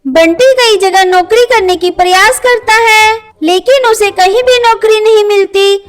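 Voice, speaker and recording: Very high-pitched woman narrating a story. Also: unhurried, faint music behind. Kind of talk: scripted children's story narration.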